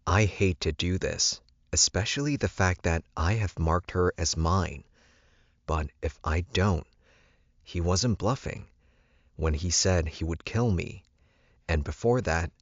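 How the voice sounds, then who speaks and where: A man's voice: low voice, no echo, dull audio. A man reading scripted first-person fiction as an audiobook narrator.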